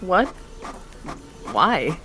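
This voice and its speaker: A woman's voice: fairly low pitch, sing-song, very slow, sharp tone, faint music behind, exaggerated voice, poor audio. An actress playing an earnest young woman in her first week at a charity job. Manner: pleading, earnest. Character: earnest young female charity worker, newly promoted.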